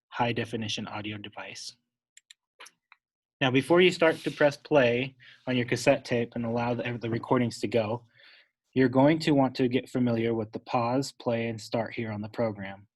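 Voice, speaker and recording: A man giving an unscripted, instructional step-by-step software tutorial, talking wordily and correcting himself as he goes. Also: mid-range voice, steady tone, normal speed, rough recording. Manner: instructional, wordy, with self-corrections.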